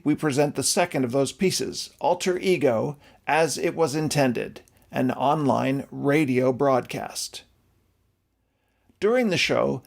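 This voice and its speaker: Male announcer, creaky slightly high voice, formal, measured, scripted show introduction. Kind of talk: scripted introduction to a virtual performance.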